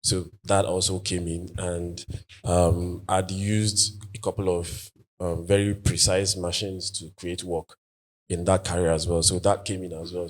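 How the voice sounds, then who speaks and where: Nigerian male artist, 40s, Igbo first language; deep, low register, reflective, hesitant delivery full of restarts. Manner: thoughtful, halting, with many fillers and restarts. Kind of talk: conversational panel answers.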